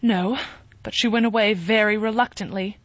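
A woman's voice: medium pitch, steady tone, moderate pace, dry recording, lo-fi sound, voice pushed up, close to an everyday voice. A woman reading an anxious, easily upset young woman in a story, her voice quavering and pleading. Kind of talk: audiobook character reading.

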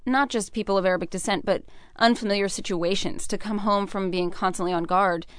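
A woman's voice: mid-range voice, fairly even intonation, fairly quick, smooth, no echo, studio quality. A young American woman giving earnest, thoughtful and articulate answers as an interview guest. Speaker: interview guest, military veteran.

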